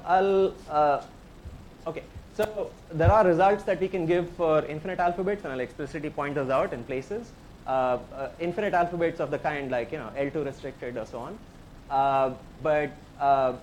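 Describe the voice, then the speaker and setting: Indian-accented male professor, slightly high pitch, talks through the material step by step and keeps inviting questions. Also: a little hiss or hum, poor audio. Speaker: professor giving a tutorial. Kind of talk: technical lecture.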